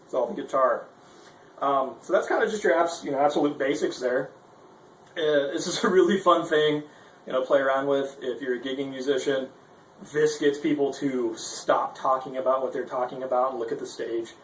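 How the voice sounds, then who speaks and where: A man's voice: medium pitch, normal speed. Casual man demonstrating gear, roomy distant sound. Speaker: guitarist giving a gear demonstration. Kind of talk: casual instructional demo talk. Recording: roomy, distant mic with background noise.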